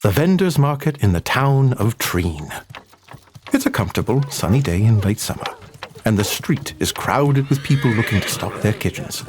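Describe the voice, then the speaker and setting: A man's voice: mid-range voice, very animated, normal speed, background noise. A man narrating a scripted audio adventure as a storyteller.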